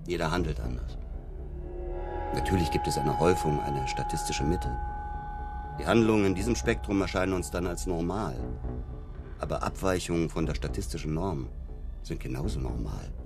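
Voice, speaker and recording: Condescending deep-voiced psychotherapist. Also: male voice, lively intonation, music in the background. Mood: lecturing. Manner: measured, condescending, dryly ironic. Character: self-assured, probing male psychotherapist. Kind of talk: German radio crime drama acting.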